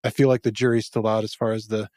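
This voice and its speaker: A man's voice: fairly low pitch, level tone, fast talker, darkish tone, no room sound, studio quality. A man hosting a podcast, upbeat and affirming as he asks his guest open questions. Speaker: podcast host. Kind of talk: casual interview chat.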